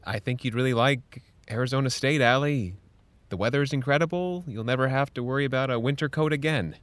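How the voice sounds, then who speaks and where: Male actor as reassuring adviser, medium pitch, close dry recording, gently insistent, audio drama. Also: fairly quick, no room sound, lightly acted. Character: earnest, gently pushy male adult advising a teenager. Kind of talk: naturalistic audio drama dialogue.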